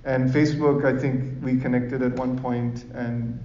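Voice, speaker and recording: A man from Sri Lanka speaks informally to a room, with a mellow, darker voice. He keeps it conversational and often checks that listeners agree. The recording is roomy and a little muffled. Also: medium pitch, flat delivery, a little slow, a little hiss or hum. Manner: conversational, often seeks agreement with tag questions. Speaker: speaker giving advice to parents at a talk. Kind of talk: informal public talk with audience questions. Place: echoey room in front of an audience. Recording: roomy, dull, distant microphone recording.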